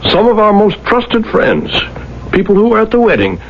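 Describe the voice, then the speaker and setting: An actor playing a gentle, devoted merchant husband, sorrowful and earnest, in a 1970s radio drama. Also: male voice, high voice, wildly swooping pitch, moderate pace, exaggerated voice. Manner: earnest, emotional, pleading. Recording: dull, noisy old radio recording.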